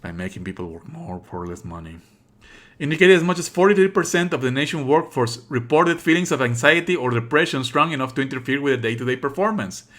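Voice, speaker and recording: A man's voice: medium pitch, expressive, fast, fairly bright tone. A man streaming a let's play, reading on-screen text aloud and adding sarcastic, exasperated asides. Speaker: streamer playing a visual novel.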